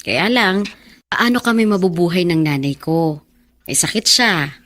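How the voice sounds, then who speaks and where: Young filipina bar girl, good daughter, soft natural manner, lower voice, radio drama. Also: animated, measured pace. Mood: guarded. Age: young adult. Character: weary, dutiful young daughter working as bar hostess.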